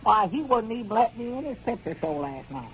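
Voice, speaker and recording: An actor playing a timid, touchy, easily flustered rural Southern storekeeper in a folksy 1930s radio comedy style. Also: male voice, sits high, very animated, brisk, fairly bright tone. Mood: sulky. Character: excitable, timid, touchy country storekeeper, a man. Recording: dull, noisy 1930s radio recording.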